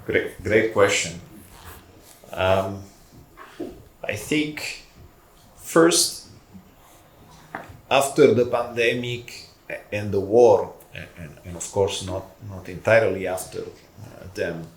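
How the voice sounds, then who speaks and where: A man speaking on a conference panel in a measured, deliberate way with pauses. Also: lowish voice, slight background noise. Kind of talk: panel discussion. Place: conference hall. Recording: echoey conference hall.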